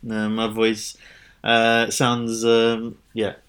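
Halting man, lowish voice. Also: monotone, a bit bright, clear, resonant tone. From Norway. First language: Norwegian. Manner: halting, many fillers and restarts. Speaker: podcast co-host. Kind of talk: casual film chat.

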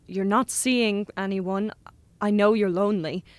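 A woman's voice: medium pitch, steady tone, measured pace, dry recording, natural voice. A woman playing a lonely, manipulative teenage boy, insistent and pushy, in naturalistic audio drama. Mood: pleading, urgent.

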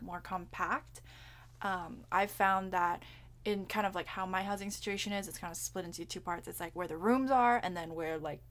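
A woman's voice: mid-range voice, a bit bright. Giggly young woman co-hosting a podcast. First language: Cantonese. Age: young adult. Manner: chatty, giggly, swears casually. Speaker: podcast co-host. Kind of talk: casual chat.

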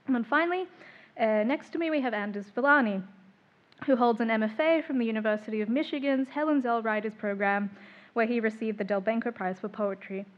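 A woman's voice: a little high, a little fast, muffled recording. A woman chairing a literary panel, introducing writers with frequent ums and small laughs.

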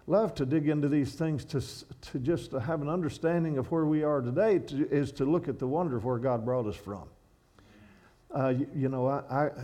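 Kentucky-accented male preacher, warm and dark tone, stumbling restarts, reads a poem in rhythm. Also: mid-range voice. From Kentucky, USA. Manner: halting restarts, then rhythmic poetic reading. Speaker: guest speaker. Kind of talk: sermon with a read-out poem. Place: church.

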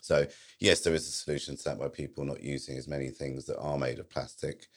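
Man, very low voice, quick talker, podcast guest, rambling, jokey. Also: steady tone, gravelly. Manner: rambling, jokey, restarts often. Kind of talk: casual chat.

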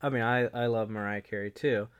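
Smooth low-voiced male host. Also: a little fast. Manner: relaxed, hesitant, with "uh" and "like" fillers. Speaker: podcast host. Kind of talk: casual interview chat.

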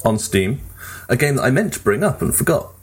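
A man's voice: mid-range voice, big pitch swings, fairly quick, breathy edge. A man co-hosting a podcast, chatting casually about video games. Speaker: podcast co-host.

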